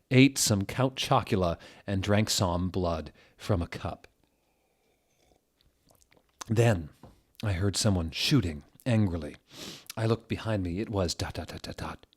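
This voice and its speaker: A man narrates fan fiction as a comic dramatic reading. His delivery is slow and deliberate with a wide, lively pitch range. He adds incredulous asides in a theatrical manner. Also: slightly rough.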